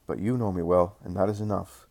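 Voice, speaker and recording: Man voicing a calm, gentle teacher. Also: sits low, vocal fry, dry recording. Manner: calm and measured.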